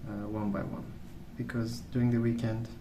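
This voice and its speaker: A man rambling softly in an ASMR style, hushed, hesitant and unhurried. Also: medium pitch, little pitch movement. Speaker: ASMR video host. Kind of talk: soft-spoken ASMR rambling. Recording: roomy, distant mic with clear noise.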